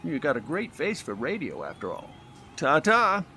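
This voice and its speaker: An actor playing a pompous, snide veteran sportswriter with an old-fashioned sardonic manner. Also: male voice, on the high side, huge pitch swings, moderate pace, bright, forceful tone, slight background noise, exaggerated voice. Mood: smug.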